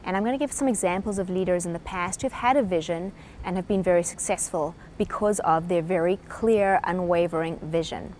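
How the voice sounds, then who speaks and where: A woman's voice: lowish voice, brisk, hardly any pauses, a little mellow, slight background noise. A woman with a South African accent giving a short, earnest talk explaining a leadership topic. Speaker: presenter.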